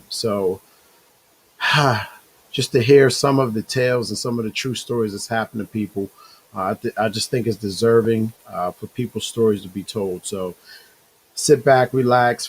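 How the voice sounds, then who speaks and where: A hoarse man hosting a talk show, talking in a halting way with many fillers and self-corrections. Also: fairly low pitch, fairly even intonation, poor audio.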